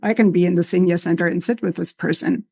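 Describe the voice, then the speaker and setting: A woman from Germany with a German accent, a psychologist speaking thoughtfully and warmly laughing now and then in an interview. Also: on the low side, fairly even intonation, gravelly, breathy edge. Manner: thoughtful, measured, laughs easily. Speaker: psychologist, associate professor. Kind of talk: conversational interview.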